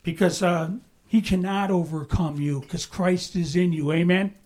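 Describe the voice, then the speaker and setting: Unhurried preacher, chatty Bible-study delivery, checks in with "right?". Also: medium pitch, steady tone, a little mellow. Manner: conversational, asks the room "right?" and "amen?". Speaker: pastor. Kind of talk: sermon, Bible study teaching.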